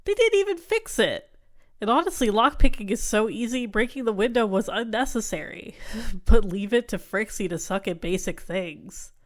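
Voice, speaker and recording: A woman's voice: fairly high pitch, animated, moderate pace, close to an everyday voice. A woman playing a jealous, obsessive neko in an ASMR roleplay, smug and catty, sweetly possessive. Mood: smug, disdainful. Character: jealous, snide, obsessive cat person rival.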